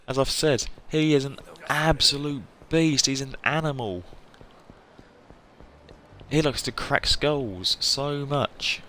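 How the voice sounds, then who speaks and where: A man giving casual live game commentary to viewers, chatty and effusive, often pausing to search for words. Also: medium pitch, bright, forceful tone, a little hiss or hum. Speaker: game streamer.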